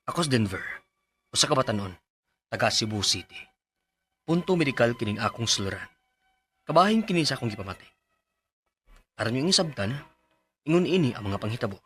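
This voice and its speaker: A man's voice: medium pitch, sing-song, brisk, long pauses, a bit bright, slight background noise. A male actor playing an earnest, respectful young office worker in a Filipino radio drama, with a Cebuano accent. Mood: earnest, confiding. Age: young adult. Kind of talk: Filipino radio drama acting and letter narration.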